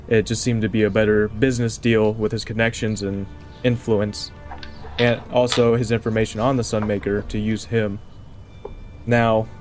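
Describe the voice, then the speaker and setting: A man playing a tabletop game, role-playing casually in a measured, explanatory way. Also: fairly low pitch, a little mellow. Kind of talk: casual in-character role-play. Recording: music playing under the voice.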